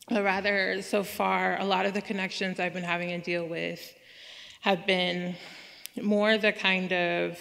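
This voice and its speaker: A woman in her thirties speaks at a podium, answering questions in a measured, hedging way full of restarts and fillers. Her voice sits low with a gravelly fry and little melody. The sound rings out in a large hall. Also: a bit bright. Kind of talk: academic Q&A, thoughtful and hedging. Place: large museum auditorium, at a podium. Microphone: podium microphone. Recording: reverberant hall.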